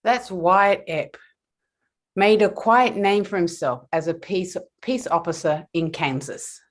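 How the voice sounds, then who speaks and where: A woman's voice: fairly low pitch, takes it slowly. A woman playing a wry Old West woman in a live table read of a western screenplay.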